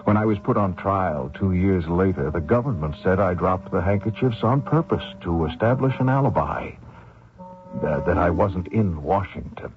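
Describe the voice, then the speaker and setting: A man's voice: deep voice, animated, moderate pace, over music. An actor playing an anxious young male fugitive, hesitant, polite and evasive, in old-time radio drama style. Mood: haunted narration. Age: young adult. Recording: noisy lo-fi old radio recording.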